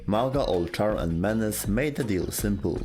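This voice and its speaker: A man with a low voice delivers scripted lore narration. His storytelling is dramatic and clipped, with very lively intonation over faint background music. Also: moderate pace.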